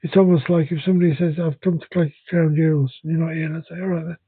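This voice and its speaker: A bilingual man who speaks English and Welsh talks haltingly, often restarting his sentences in a relaxed conversation. His voice is slightly high and husky. The recording sounds dry and narrow, like a phone line. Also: no room sound. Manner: hesitant, halting, many restarts. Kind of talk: casual podcast conversation.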